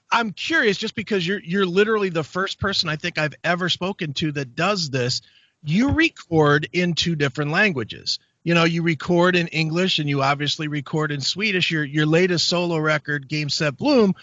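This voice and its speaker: A man's voice: fairly high pitch, sharp tone, dry recording, dull audio. A man hosting an interview, asking long, stumbling questions and giving short affirmations. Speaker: show host.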